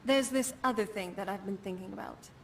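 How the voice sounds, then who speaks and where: A woman plays a bookish philosopher in a fantasy game, contemplative and articulate. Her medium-pitched voice sits a little far from the mic, with faint ambience behind it. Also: a little fast, a bit bright, slight background noise, natural voice. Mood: musing. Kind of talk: video game character monologue.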